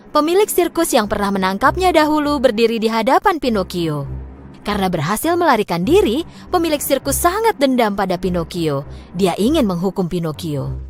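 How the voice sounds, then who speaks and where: A woman narrating a scripted fairy tale for children in an expressive, clearly phrased way. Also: medium pitch. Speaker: storyteller.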